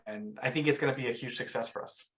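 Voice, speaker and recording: A man's voice: mid-range voice, quick pace, a bit bright. A man talking as a guest speaker on a video-call webinar, hesitant and restarting with fillers. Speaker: youth program guest speaker. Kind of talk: webinar panel talk.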